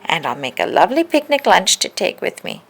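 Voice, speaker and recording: A woman's voice: medium pitch, very animated, brisk, gravelly, a bit bright, heavily acted. A woman voicing an earnest, easily dismayed male bear in a children's storybook, with emphatic exclamations.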